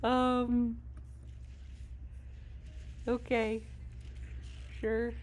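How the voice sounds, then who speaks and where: A woman's voice: a little high, little pitch movement, drawn-out words, a little hiss or hum. A woman live streamer chatting casually over a game, in a rambling, informal and self-deprecating way. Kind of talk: casual gaming stream chat.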